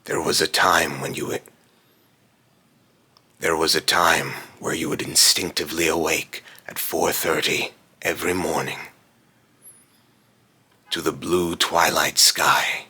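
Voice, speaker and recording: A man voicing a brooding otherworldly male spirit with a raspy voice, speaking solemnly and deliberately in a voice line recording. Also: rumbling low, level tone, sharp tone, exaggerated voice. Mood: wistful, brooding.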